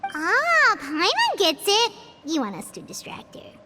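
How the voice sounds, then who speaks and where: A woman voicing a tiny floating female companion creature who is chirpy and curious, with a squeaky, bouncy voice, referring to herself in the third person. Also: huge pitch swings, measured pace, music in the background, exaggerated voice. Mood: eager.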